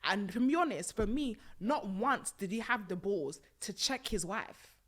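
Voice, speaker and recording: Animated woman podcast host, bright voice. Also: mid-range voice, a little slow. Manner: animated and emphatic, bursts of laughter. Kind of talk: casual chat reviewing a reality show. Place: small purple-lit studio set on a sofa. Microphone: studio mic on a boom arm.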